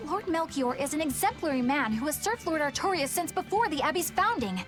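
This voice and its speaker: Earnest, high-voiced young boy. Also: expressive, fairly quick. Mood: earnest, admiring. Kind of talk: English video game dub acting. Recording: music under the voice.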